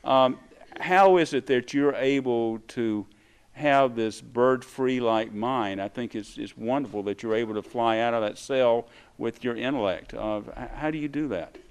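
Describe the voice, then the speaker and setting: A man interviewing someone conversationally at a live event, in a halting, supportive way. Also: medium pitch, clean tone.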